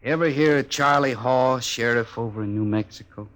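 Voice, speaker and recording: An American actor playing a cocky drifting cowboy who needles with false friendliness and folksy talk in a 1950s Western radio drama. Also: male voice, medium pitch, normal speed, fairly bright tone, natural voice. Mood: sly, taunting. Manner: taunting, drawling folksy talk.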